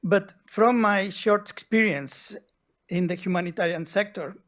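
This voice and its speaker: A man from Mexico, an audience member putting a long, deliberate question to a seminar panel and telling a story to make his point. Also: high voice, fairly bright tone.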